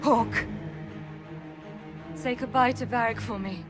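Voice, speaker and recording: A woman's voice: fairly high pitch, slow, over music. An actress playing a selfless, fierce female warrior in a video game, urgent and decisive in her delivery. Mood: resolute, bittersweet. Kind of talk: dramatic video game acting.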